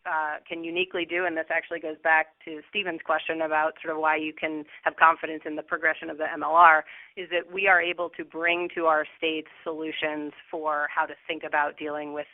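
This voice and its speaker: A woman company executive giving measured, explanatory answers on an earnings call. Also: sits low, level tone, no room sound. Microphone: conference call line. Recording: narrow, tinny phone-line sound.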